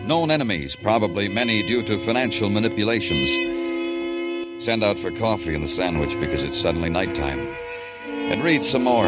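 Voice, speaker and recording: Actor as a low, hard-boiled police detective. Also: male voice, lightly acted. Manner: terse, clipped, deadpan. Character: hard-boiled, world-weary police lieutenant detective and narrator. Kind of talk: 1940s radio crime drama acting and narration.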